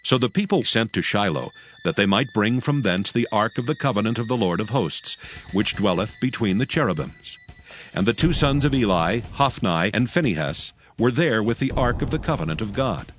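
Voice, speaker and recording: A man narrating scripture from a script in a solemn, measured and formal way. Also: fairly low pitch, expressive. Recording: music bed behind the voice.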